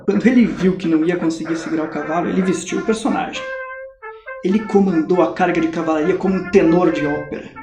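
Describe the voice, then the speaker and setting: A man with a Brazilian accent plays a wry former mercenary soldier in his late thirties, recounting battle with dry amusement. His voice is a little high. The sound is roomy, with faint music behind it. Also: quick pace. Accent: Brazilian Portuguese. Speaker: acting student. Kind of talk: mock-documentary stage acting. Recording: echoey room.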